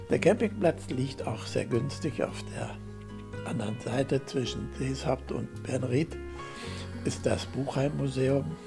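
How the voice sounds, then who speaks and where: A man's voice: medium pitch, creaky. An older man from Germany, the host of a camping travel vlog, narrating a voice-over in a friendly, chatty way full of recommendations.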